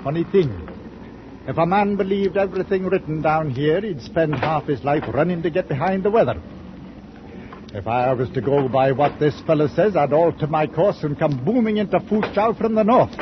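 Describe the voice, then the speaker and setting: An actor playing a stubborn, plain-spoken old ship captain in a 1940s radio drama, terse and pompous. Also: male voice, on the high side, moderate pace, close to an everyday voice. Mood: stubborn, commanding. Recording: muffled noisy 1940s radio recording.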